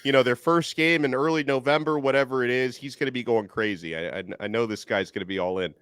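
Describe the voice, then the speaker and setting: Energetic man hosting a sports podcast. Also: medium pitch, smooth, dry recording. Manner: energetic, rapid recruiting rundown. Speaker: podcast host. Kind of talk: casual sports podcast chat. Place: home studio, video call. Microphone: desk microphone.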